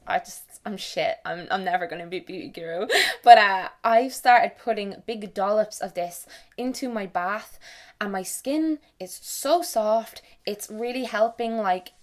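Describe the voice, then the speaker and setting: A young Irish woman vlogging to camera in casual, self-mocking chat, swearing freely. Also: mid-range voice. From Ireland. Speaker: beauty and lifestyle vlogger.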